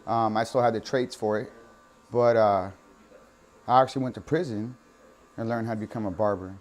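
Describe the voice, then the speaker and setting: A man's voice: fairly low pitch, normal speed. An American man in his forties talking conversationally in a casual documentary interview, often adding "you know". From San Diego, California, United States. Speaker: barber. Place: inside a barbershop. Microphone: lapel mic.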